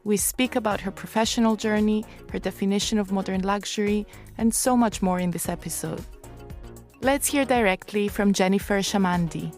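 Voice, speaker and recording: A woman hosting a radio show, reading a polished scripted introduction at a measured pace and then asking interview questions at a live podcast recording in a showroom. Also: medium pitch, darkish tone, faint music behind.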